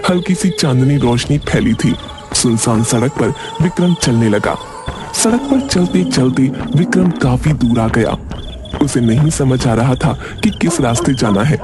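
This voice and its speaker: A man narrating a dramatic cartoon story in a steady way, with background music. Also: mid-range voice, measured pace.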